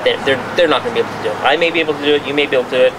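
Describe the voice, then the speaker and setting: A man's voice: mid-range voice, very fast. A man, a journalist, speaking off the cuff at a press conference in a restaurant, restarting and repeating words as he makes his points. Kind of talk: spontaneous press-conference talk. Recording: clear background noise.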